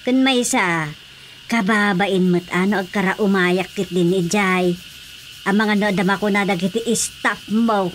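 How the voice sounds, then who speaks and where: An actress with an Ilocano accent playing an outspoken, teasing wife in a radio drama comedy. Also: female voice, mid-range voice, steady tone, measured pace, natural voice. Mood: questioning. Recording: faint background ambience noise.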